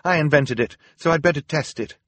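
A man's voice: a little high, fairly quick, a bit bright, no room sound, voice pushed up. A man voicing an earnest, inventive teenage girl, an anxious older sister in an audiobook, explaining things carefully. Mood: determined. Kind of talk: audiobook character acting.

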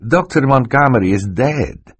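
A man voicing a sly storybook villain who feigns sorrow, speaking in a slow, drawn-out, fluttery way. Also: lively intonation, dry recording. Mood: feigned sorrow. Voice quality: high, fluttery, breathy when feigning. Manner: slow, drawn-out, theatrically distressed.

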